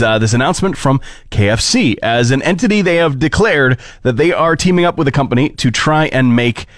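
A man's voice: fairly high pitch, dry recording. Dry-sounding Canadian man hosting a podcast. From Alberta, Canada. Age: 30s. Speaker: podcast host. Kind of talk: casual entertainment news and chat.

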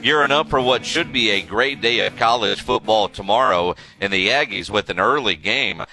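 American guy hosting sports radio, bright punchy voice, casual banter. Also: fairly low pitch, moderate pace, faint music behind, rough recording. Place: radio studio.

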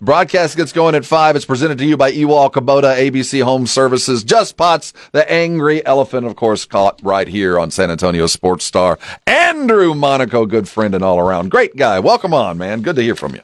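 A man's voice: mid-range voice, very animated, moderate pace, few pauses, dry recording, studio quality. A man hosting a sports radio morning show, chatting in a conversational broadcast style. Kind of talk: lively radio show chat.

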